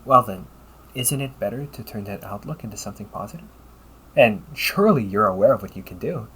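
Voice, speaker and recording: A man playing a polite, caring A.I. companion in an audio roleplay, speaking in measured, formal phrases and often checking with a questioning tag. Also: fairly low pitch, expressive, fairly bright tone, slightly rough, full character voice, rough recording. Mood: earnest, reassuring. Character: polite, caring male A.I. companion, gently logical and encouraging. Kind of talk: audio roleplay addressed to the listener.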